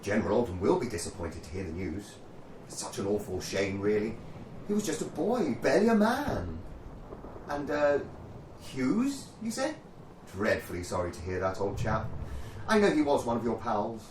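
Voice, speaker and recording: A man's voice: lowish voice, sing-song, moderate pace, darkish tone. Guy acting posh british army captain, pompous and jolly, very up and down, roomy sound. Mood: regretful. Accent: British, upper-class. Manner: plummy, hesitant, stiff-upper-lip politeness. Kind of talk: radio play acting. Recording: roomy, distant mic, faint noise.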